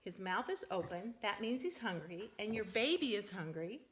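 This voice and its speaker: Patient storybook father bird, steady and reasoning, read by a woman. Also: medium pitch, normal speed, slight background noise. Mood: reassuring. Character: calm reassuring father bird.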